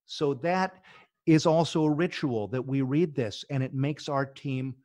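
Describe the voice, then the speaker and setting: A man's voice: medium pitch, unhurried, a little mellow, dry recording, studio quality. A middle-aged man, a business founder, talking eagerly as a podcast guest and often restarting his words. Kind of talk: casual interview talk.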